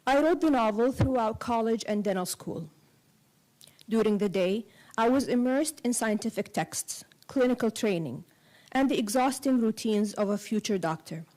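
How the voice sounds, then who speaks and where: A woman novelist who grew up between Kuwait and Saudi Arabia, a native Arabic speaker, reading a prepared essay aloud in measured, deliberate phrases. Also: on the high side, lively intonation, mellow, rough recording. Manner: measured, deliberate phrasing in short cadenced clauses.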